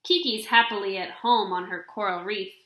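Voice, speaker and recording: A young adult woman reading a children's picture book aloud in an expressive, deliberate storybook delivery, recorded in a room at home. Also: medium pitch. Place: small indoor room at home. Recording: roomy, echoing home webcam recording.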